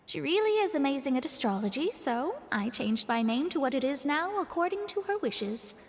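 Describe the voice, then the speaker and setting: A woman's voice: sits high, big pitch swings, moderate pace, big-room sound, slight background noise. A woman voicing a proud young female astrologist in a studio game, composed and a little haughty as she explains things. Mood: explaining.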